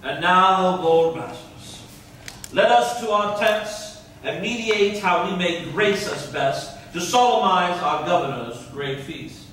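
An actor playing a proud, gracious Turkish ruler in stately verse at a staged reading. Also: male voice, high-pitched, lively intonation, slow, a bit bright, exaggerated voice. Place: large indoor theatre stage. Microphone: far camera. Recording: distant echoey theatre stage, faint noise.

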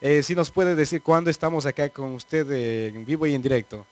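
A man's voice: a little high, fairly even intonation, a little fast. A man hosts a live TV variety show, speaking into a handheld microphone in a small studio. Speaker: TV host.

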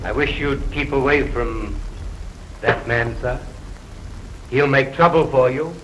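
An actor playing a stiff, disapproving but loyal butler in an early 1930s film, formal, dry and measured. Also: male voice, medium pitch, lightly acted. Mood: grave warning. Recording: hissy 1932 film soundtrack, stormy rain behind.

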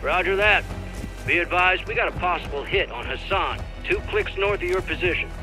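An actor playing an assured air support commander in a military game, speaking in clipped procedure over radio. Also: male voice, high voice, normal speed, poor audio. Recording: loud music and gunfire behind.

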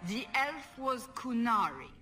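A woman's voice: on the high side, sing-song, slow, bright, forceful tone, soft background music, heavily acted. An actress playing a stern, suspicious armoured inquisitor in a video game, clipped and commanding in her questioning. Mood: skeptical, stern. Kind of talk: dramatic video game dialogue.

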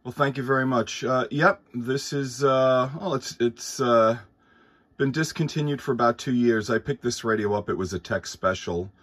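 A man's voice: mid-range voice, moderate pace, fairly bright tone. A man chatting casually and courteously as an amateur radio operator. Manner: courteous, thankful. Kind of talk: casual ham radio chat. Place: indoors at a workbench.

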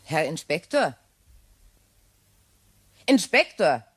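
Casting: widow and suspected murderess, nervy and calculating, husky breathy delivery, crime radio drama. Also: female voice, low-pitched, big pitch swings, extremely slow, exaggerated voice. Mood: anxious, agitated. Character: widow, nervous yet scheming suspected murderess. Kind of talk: German crime radio play acting.

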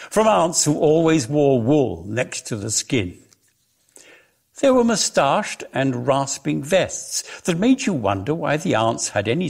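Gravelly man giving an unhurried dramatic reading. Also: mid-range voice. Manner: expressive, measured storytelling. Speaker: reader of a dramatic story. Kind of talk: theatrical dramatic reading of lyrical prose.

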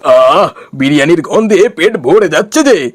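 A man's voice: a little high, animated, unhurried, husky. A man voicing a cheerful, easygoing village father in a cartoon, eager and homely. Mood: delighted.

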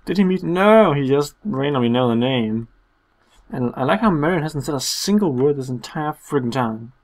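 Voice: medium pitch, expressive, normal speed, a little mellow. A let's player giving playful game commentary in a goofy, sarcastic, theatrical way.

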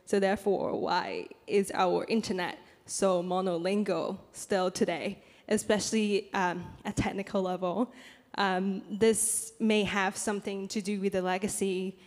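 Young woman, first language Cantonese, flat monotone delivery, handheld mic, reverberant conference hall. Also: mid-range voice. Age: young adult. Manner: reads from laptop with many pauses and ums. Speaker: youth panel speaker. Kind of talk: reading a prepared statement. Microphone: handheld microphone.

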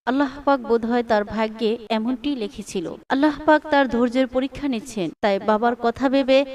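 A woman narrates a scripted story. Her voice is high in pitch. It is recorded slightly away from the mic. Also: normal speed, darkish tone, distant mic.

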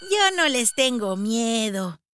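Woman as cheerful, high-voiced boy wizard. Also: very animated, slow pace, noisy background. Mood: cheerful bravado.

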